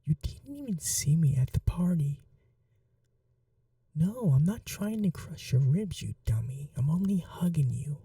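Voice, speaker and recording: A performer voicing a sweet, innocent young vampire prince, a doting younger brother, in breathy, earnest ASMR roleplay. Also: mid-range voice, lively intonation, unhurried, warm tone, no echo, far from the mic. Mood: relieved, affectionate. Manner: breathy, whispery, earnest, affectionate.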